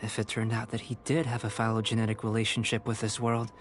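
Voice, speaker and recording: A man voicing a calm, cerebral young alchemist scholar in a video game, measured, formal and coolly detached. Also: fairly low pitch, natural voice. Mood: analytical. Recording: music behind the voice.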